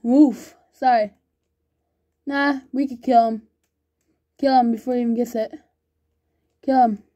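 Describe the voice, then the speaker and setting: A woman chatting excitedly while playing an online game with a friend, laughing often and calling everyone bro. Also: a little high, a little slow. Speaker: gamer recording gameplay. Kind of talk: excited gaming banter with a friend.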